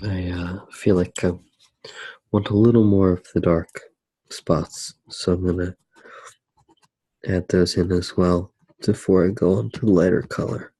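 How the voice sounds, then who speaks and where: Deep, husky, soft-spoken ASMR man. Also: little pitch movement, dry recording, low-quality recording. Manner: soft-spoken, unhurried, halting with long pauses. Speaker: ASMR artist demonstrating a drawing. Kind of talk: ASMR, narrating while drawing.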